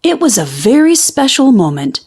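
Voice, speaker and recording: A woman reading a children's storybook aloud as an expressive narrator. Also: on the high side, normal speed, breathy edge.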